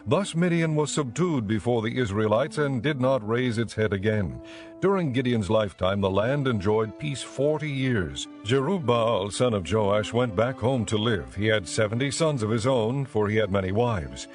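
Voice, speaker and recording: A man narrating scripture as a scripted audiobook in a measured, solemn manner. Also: lowish voice, lively intonation, soft background music.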